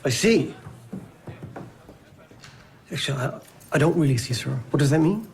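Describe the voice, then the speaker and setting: An eager young male constable who defers politely to his superior. His voice sits fairly high, and music plays beneath the scene. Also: lively intonation, normal speed, a little mellow. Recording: TV drama with music behind.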